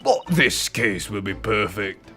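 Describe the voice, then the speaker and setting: Man doing an eager young girl, brightly animated. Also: fairly low pitch, slow pace, faint music behind, heavily acted. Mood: pleased, excited. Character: eager, chirpy young girl who writes detective stories.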